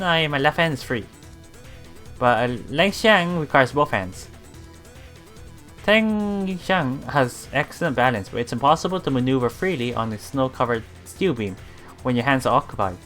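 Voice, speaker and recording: A streamer reading visual novel text aloud in a halting way, adding casual commentary over game music. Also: medium pitch, a bit bright. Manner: halting reading, often repeating broken words. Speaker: let's player streamer.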